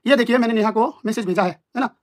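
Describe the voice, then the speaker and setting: A man's voice: very high-pitched, fast. A man in an informal live-stream argument, explaining himself in an emphatic, repetitive, combative way.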